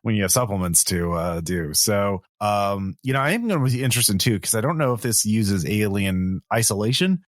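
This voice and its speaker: A man's voice: on the low side, expressive, moderate pace, few pauses, no room sound, clean studio sound. A man hosting a podcast, talking through a game review in a casual, rambling, conversational way. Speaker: podcast host.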